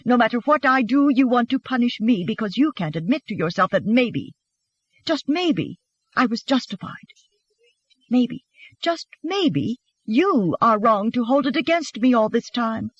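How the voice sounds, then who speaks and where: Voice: mid-range voice, sing-song, measured pace, slightly rough, no room sound, muffled recording, voice pushed up. A narrator as a fiery, defiant young wife. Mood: wounded anger. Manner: spitting, taunting, shouting back. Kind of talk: dramatised audiobook dialogue.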